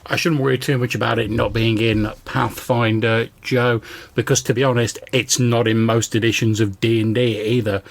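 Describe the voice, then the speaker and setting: A man's voice: mid-range voice, little pitch movement, moderate pace, gravelly. A man hosting a podcast, chatting conversationally in response to listener voicemails. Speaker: podcast host.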